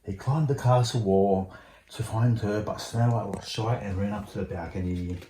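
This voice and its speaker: Man, lowish voice, bedtime storybook narrator, slightly stumbling, roomy sound with faint noise. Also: normal speed. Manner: stumbles over words while reading. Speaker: father reading a bedtime story. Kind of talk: storybook read-aloud. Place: indoor room at home.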